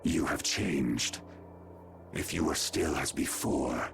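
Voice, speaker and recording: Casting: ancient nonhuman judge for a game, very gravelly, low, stern and archaic, music underneath. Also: male voice, animated, takes it slowly, full character voice. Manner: formal, solemn, archaic phrasing. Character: ancient, stern, imperious great creature judging humans.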